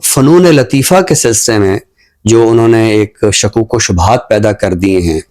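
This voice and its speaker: A man giving thoughtful, earnest commentary on a talk show in a measured, phrase-by-phrase way. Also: on the low side, a little mellow.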